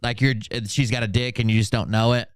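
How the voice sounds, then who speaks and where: A man's voice: medium pitch, fairly even intonation, a little fast, clean tone, no room sound, clean studio sound. A man hosting a commentary stream in a sarcastic, mocking, profane manner. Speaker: host of a commentary livestream. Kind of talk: sarcastic reaction commentary with mock-dramatic readings.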